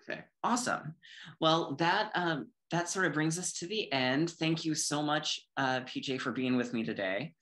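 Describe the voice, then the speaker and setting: A man's voice: fairly high pitch, moderate pace, a bit bright. A man hosting a casual podcast interview in an encouraging, enthusiastic manner. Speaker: co-host interviewer.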